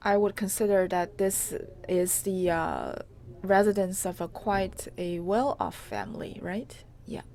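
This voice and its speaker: A woman reporter giving an unscripted live tour on location, in a halting, hesitant way with pauses mid-phrase. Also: medium pitch, animated, a little mellow. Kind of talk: unscripted live walk-through narration. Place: on location inside an old house. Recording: clearly noisy on-location live recording.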